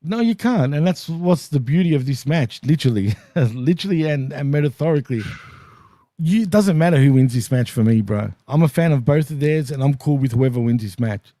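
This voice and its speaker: A man with an Australian accent co-hosting a casual wrestling chat, laid-back and quick to laugh. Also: a little high, lively intonation, warm tone, no echo, clean studio sound. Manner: laid-back, laughs often, calls others bro. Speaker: co-host.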